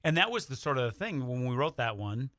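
A man's voice: mid-range voice, big pitch swings, fairly quick, fairly bright tone, no echo, studio quality. An American man chatting casually as a guest writer, telling anecdotes and acting out what people said. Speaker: radio play writer and guest. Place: radio studio.

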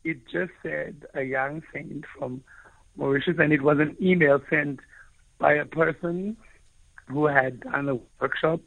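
A South African man, Afrikaans by birth, recounting his own experiences in an earnest, searching way. His voice sits a little high and has a gravelly edge. It comes over a thin, phone-like line with no room sound. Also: fairly even intonation, a little slow, no room sound. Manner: earnest, searching for words, frequent restarts. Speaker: author and guest. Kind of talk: reflective personal storytelling.

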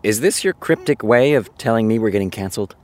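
An actor playing a weary male TV show creator, with a deadpan, sardonic, reluctant manner in a naturalistic audio drama. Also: on the low side, fast, lightly acted. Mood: dry, weary.

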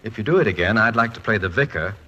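An American actor playing a witty, proud, fretful middle-aged college president and husband in a wry, articulate 1940s radio comedy-drama style. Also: male voice, fairly low pitch, animated, quick pace, bright, forceful tone, lo-fi sound. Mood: fond. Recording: noisy 1940s radio recording.

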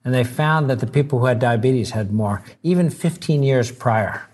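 A male doctor being interviewed, talking earnestly about his field. His voice sits at a middle pitch for a man, and he often repeats a word several times before a sentence gets going. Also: normal speed, a little mellow, slight background noise. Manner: earnest, stammers on repeated words. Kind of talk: conversational interview.

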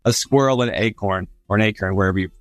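A man's voice: lowish voice, quick pace, no room sound. A man working as an interviewer, chatting informally and appreciatively as he comments and asks questions. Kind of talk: conversational interview questions and remarks.

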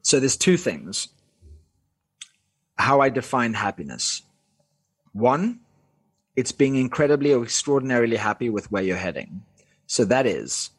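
A middle-aged man with an Afrikaans accent, a life coach answering interview questions in an emphatic way, listing his points in measured steps. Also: mid-range voice, slightly rough.

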